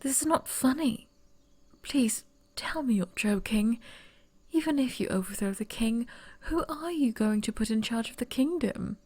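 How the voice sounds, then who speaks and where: A woman voicing a young noblewoman who would be heir, whispering softly and stammering when flustered, in an ASMR roleplay. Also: fairly high pitch, animated, measured pace, breathy edge.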